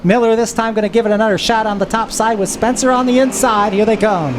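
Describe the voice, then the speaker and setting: A woman's voice: on the high side, steady tone, fast. A woman giving live stock car race commentary as a trackside announcer. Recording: loud vehicle noise behind. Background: race cars and trackside noise.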